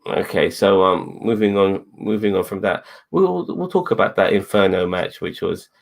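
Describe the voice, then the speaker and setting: A man with a fairly low pitch and plenty of fry in his voice talks casually as a co-host. He rambles, repeats his phrases and drops swear words as he goes. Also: expressive, moderate pace. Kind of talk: casual wrestling review chat.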